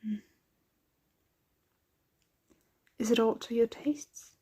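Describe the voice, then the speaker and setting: A woman playing a centuries-old, doting, aristocratic vampire mistress in an ASMR roleplay, speaking softly, tenderly and without hurry, often slipping into whispers. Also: on the high side, slightly rough. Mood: doting, reassuring. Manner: soft, unhurried, tender, often drifting into whispers.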